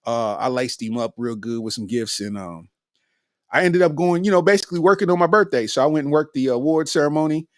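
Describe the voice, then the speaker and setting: A relaxed man in his forties with a slightly high voice, hosting a solo podcast. He talks loosely, repeats himself and tags lines with "man." The sound is dry and close. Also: brisk, no echo. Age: 40s. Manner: laid-back, repeats phrases, ends lines with "man" and "you know what I'm saying". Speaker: podcast host. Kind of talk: casual solo monologue.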